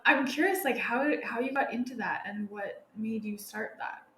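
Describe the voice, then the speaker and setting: A woman's voice: a little high, moderate pace, bright, forceful tone, roomy sound, faint music behind. A woman podcast host asking her guest questions with enthusiasm. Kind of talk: interview questions.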